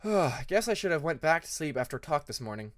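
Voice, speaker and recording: Man as a modest young dragon, slightly high. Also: sing-song, brisk. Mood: tired, honest. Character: polite, modest young male dragon student. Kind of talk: fan fiction audiobook character voice.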